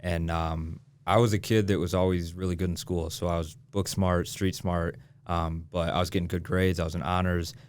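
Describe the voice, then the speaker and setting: Young guy from michigan, real estate agent, talks quick, low smooth voice, swears casually. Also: level tone. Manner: laid-back, swears casually. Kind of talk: casual interview chat.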